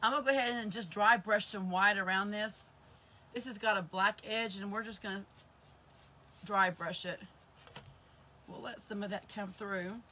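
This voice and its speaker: Woman, 50s, hoarse, bright tone, chatty craft host, greets viewers, soft background hiss. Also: mid-range voice, steady tone, normal speed, pauses often. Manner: chatty, greets viewers while working with her hands. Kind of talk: live crafting stream chat. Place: indoor craft room with shelves. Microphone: camera facing her across the table.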